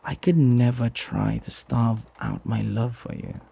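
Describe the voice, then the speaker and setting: A man playing a devoted, flirty butler in an intimate ASMR roleplay, tender and hesitant, often sinking into a breathy whisper. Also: medium pitch, unhurried, mellow, a little hiss or hum. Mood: wistful, tender. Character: flirty lovestruck male butler, devoted and deferential.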